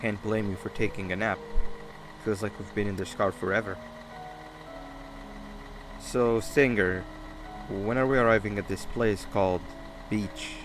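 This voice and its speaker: A man's voice: lowish voice, steady tone, a little slow, pauses often, noisy background, lightly acted. A man voicing a chatty, sarcastic mascot monster in a video game, with a glib, teasing way of talking. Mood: chatty, needling. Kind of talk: video game character acting.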